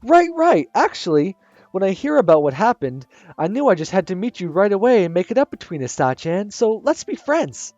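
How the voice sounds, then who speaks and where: A high, sing-song teenage schoolgirl, loud and pushy, chattering on without a pause, as a man puts her on. Her voice leaps all over, and the recording sounds dull and dry. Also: no echo, soft background music. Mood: bubbly. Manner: excited, chattering, shrill.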